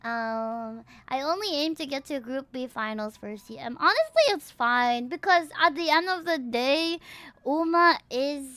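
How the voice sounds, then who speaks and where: High-voiced Filipino woman livestreamer. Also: lively intonation, measured pace, fairly bright tone, no room sound. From Philippines. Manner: chatty, playful, reacting to chat. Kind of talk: casual livestream chat over a game, switching to reading the screen. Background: music.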